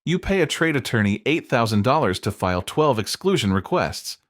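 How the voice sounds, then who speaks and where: A man reading scripted explainer narration in a measured, emphatic way, built on short dramatic statements. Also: mid-range voice, big pitch swings, dry recording, clean studio sound.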